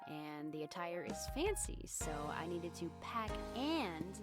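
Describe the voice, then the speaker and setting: A woman's voice: on the low side, sing-song, unhurried. A woman playing a casual, easily flustered teenage schoolgirl in a visual novel, with music behind her. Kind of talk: visual novel character dialogue, fan dub.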